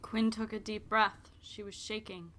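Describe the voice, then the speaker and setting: A woman's voice: mid-range voice, level tone, measured pace, bright, forceful tone. A woman playing a cynical, candid narrator in a scripted audio drama. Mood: grave. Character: cynical, candid female narrator of a heartbreak story. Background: music.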